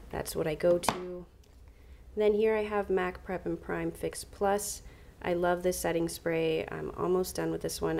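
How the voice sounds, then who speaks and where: A young woman with a stuffy, nasal head-cold voice talks through her makeup collection in a chatty, enthusiastic way. Her voice sits fairly low, with a gravelly fry at the ends of her phrases. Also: a little mellow. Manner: chatty, enthusiastic, uses fillers like um and like. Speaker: beauty vlogger. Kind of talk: casual product walkthrough. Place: home room with vanity desk.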